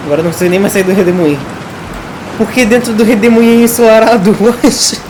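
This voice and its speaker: A woman's voice: mid-range voice, rapid-fire, background noise. A woman with a Brazilian Portuguese accent doing casual, playful game commentary in a joking, slangy way. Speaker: gaming YouTuber. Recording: game music behind the voice.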